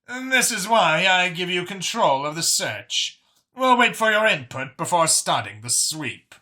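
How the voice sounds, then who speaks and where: Guy doing a commanding alien chairwoman for a sci-fi audiobook, really bright, swings up and down, formal and measured. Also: high voice, very animated, pitched above the performer's own voice, full character voice. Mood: composed. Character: composed, commanding female alien council chair.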